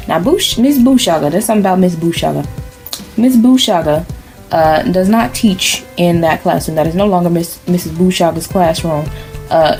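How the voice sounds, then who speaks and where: A woman telling a comic, improvised bedtime story in a deadpan, mock-serious way, full of sassy asides. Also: fairly low pitch. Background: background music.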